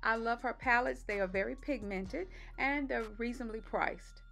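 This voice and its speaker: A woman with a slightly raspy voice doing a relaxed step-by-step voice-over for her makeup tutorial. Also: a little high, moderate pace, soft background music. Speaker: beauty vlogger. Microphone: voice-over mic.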